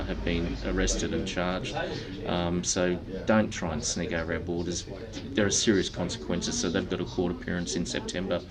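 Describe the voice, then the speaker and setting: A man with a lowish voice hosts a livestream from a coffee shop, running through the news in a relaxed, halting way. Clear café chatter carries on behind him. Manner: relaxed, with many ums and uhs. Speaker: livestream host. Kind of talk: casual news roundup and interview.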